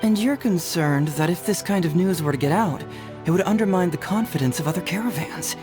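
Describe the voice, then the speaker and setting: A man's voice: high-pitched, brisk, natural voice. A man playing a free-spirited young male detective in a video game, talking in a casual, sly, self-assured way. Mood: shrewd. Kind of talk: English video game voice acting. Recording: faint background music.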